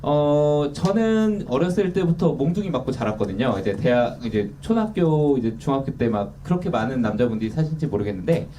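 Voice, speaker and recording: A man's voice: fairly high pitch, mellow. A man in his thirties, an author, talking candidly and self-deprecatingly in a casual interview. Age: 30s. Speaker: author guest. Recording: distant mic with faint noise.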